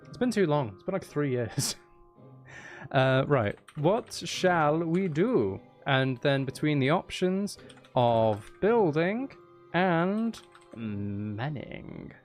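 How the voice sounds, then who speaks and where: A man's voice: a little high, big pitch swings, slow, soft background music. A man chatting casually as he hosts a live game stream, playfully mock-dramatic and self-deprecating with his viewers. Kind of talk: casual chatty gaming livestream talk with viewers.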